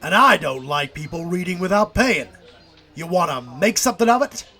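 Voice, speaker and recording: A man's voice: high-pitched, animated, normal speed, bright, forceful tone. A man playing an irritated, pushy shopkeeper, slangy and confrontational, in an audio drama. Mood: annoyed. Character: irritated, pushy male shopkeeper spoiling for trouble.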